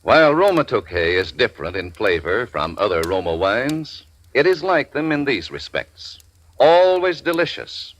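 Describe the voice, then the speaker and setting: Old radio ad guy, lively voice, smooth selling pitch. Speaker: commercial announcer. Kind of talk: 1940s radio commercial.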